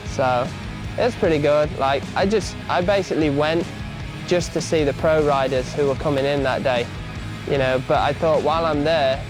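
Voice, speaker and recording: Male rider interviewee, slightly high voice, casual and conversational, music bed behind. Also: normal speed, low-quality recording. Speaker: BMX rider being interviewed.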